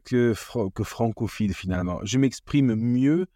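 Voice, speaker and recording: A man's voice: mid-range voice, a little slow, dark tone, dry recording, studio quality. A man from Portugal with a Portuguese accent shaded by English, a playful stand-up comic chatting on a podcast. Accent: Portuguese with English influence. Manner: jokey, playful, laughs often. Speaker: stand-up comedian, podcast guest. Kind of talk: casual podcast chat.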